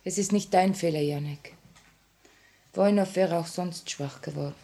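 An actress playing a gentle, consoling revolutionary comrade in a 1950 radio play. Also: female voice, lowish voice, normal speed, natural voice. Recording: noisy old 1950 radio recording.

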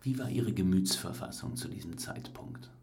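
An actor playing a calm, formal police officer questioning a witness in a German radio drama. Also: male voice, low-pitched, moderate pace, creaky, reverberant, slight background noise. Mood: probing, calm. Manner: formal, probing.

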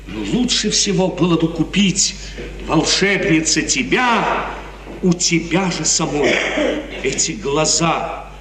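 An actor playing an ardent, imperious young prince in theatrical 1950s Soviet radio drama style, given to rapturous, emphatic speech. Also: male voice, a little high, animated, takes it slowly, a crowd in the background. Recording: roomy, distant 1950s radio recording.

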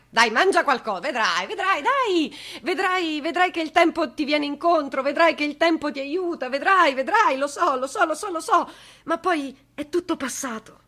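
An actress playing a grief-stricken woman in a radio theatre monologue, speaking in fragmented, repetitive phrases that move between hushed and insistent. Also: high voice. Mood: insistent, agitated. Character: grief-stricken woman reliving days after a loss.

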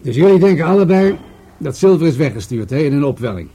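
Sceptical police commissioner with a husky, mellow voice. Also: male voice, a little high, sing-song, fast talker. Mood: firm. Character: older police commissioner, sceptical, commanding, war survivor. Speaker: actor in a radio play. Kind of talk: Dutch radio play acting.

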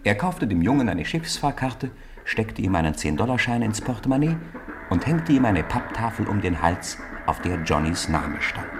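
A man narrating a 1950s radio play as a measured, expressive storyteller. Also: lowish voice, noisy background.

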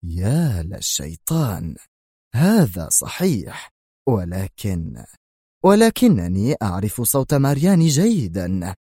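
A man voicing a gruff, cold older mafia boss in an audiobook, speaking slowly and heavily. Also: on the low side, big pitch swings, a little mellow, no room sound, studio quality. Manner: slow, heavy, menacing. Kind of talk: audiobook character reading.

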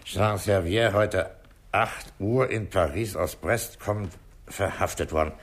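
A man playing a calm, authoritative police inspector, terse and deliberate, in a 1960s German radio drama. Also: deep voice, slight background noise. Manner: terse, commanding, unhurried, deliberate.

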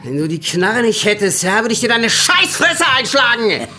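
An actor playing a coarse, aggressive small-town drunken thug, shouting in a radio play. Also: male voice, sits high, very animated, moderate pace, faint music behind, heavily acted. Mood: belligerent. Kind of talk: radio drama dialogue.

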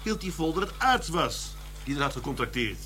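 Bluff grumbling detective, radio drama, forceful bright voice, slightly high, humming room noise. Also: male voice, big pitch swings, brisk. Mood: businesslike. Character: grumbling, bluff male police detective leading colleagues. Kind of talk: Dutch radio drama acting. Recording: clear background noise and hum.

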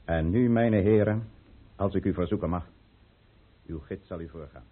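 An actor playing a commanding, calculating count who leads a conspiracy in a radio play, speaking formally and solemnly. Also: male voice, low-pitched, normal speed, husky. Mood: cool, authoritative. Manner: formal, solemn, authoritative oratory. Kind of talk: Dutch radio play acting. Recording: thin, phone-like old radio recording.

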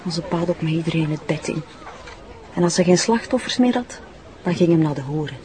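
A woman's voice: deep voice, animated, brisk, dark tone, a faint crowd. A woman playing a bitter, defiant young runaway addict in a TV drama, with a Flemish accent and a coarse, contemptuous way of talking. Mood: bitter, scornful. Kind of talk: naturalistic TV drama acting.

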